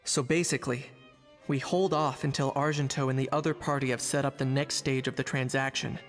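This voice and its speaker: A man's voice: mid-range voice, flat delivery, brisk, close to an everyday voice. Man playing an earnest hunter, reverberant recording. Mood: thoughtful. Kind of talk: animated video game voice acting. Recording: long reverb, faint music.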